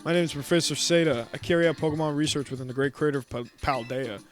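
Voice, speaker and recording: A man reading aloud the lines of a calm, formal woman professor in a video game. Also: fairly high pitch, fast talker, faint music behind, natural voice. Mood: polite.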